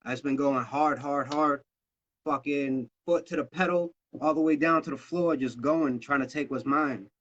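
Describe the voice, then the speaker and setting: A man's voice: on the high side, normal speed, a bit bright. Laid-back rapper in his thirties, muffled audio. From Massachusetts. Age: 30s. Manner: laid-back, loose, swears freely. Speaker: rapper guest. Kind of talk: casual interview talk.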